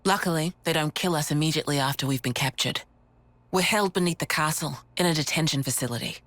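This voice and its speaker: An actor voicing a gruff, matter-of-fact, world-weary resistance leader in a video game cutscene. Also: male voice, fairly high pitch, fast talker, fairly bright tone, no echo, natural voice. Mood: grave briefing. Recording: dramatic game music behind voice.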